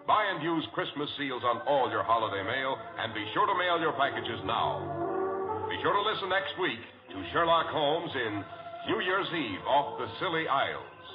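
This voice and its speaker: A man's voice: mid-range voice, sing-song, moderate pace. A man with an American accent announcing in a polished, emphatic 1940s radio style, reading scripted copy. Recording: dull 1940s radio broadcast, music.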